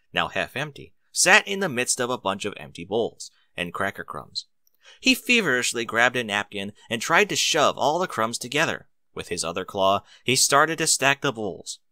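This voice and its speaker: Bright, expressive male story narrator. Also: medium pitch, dry recording.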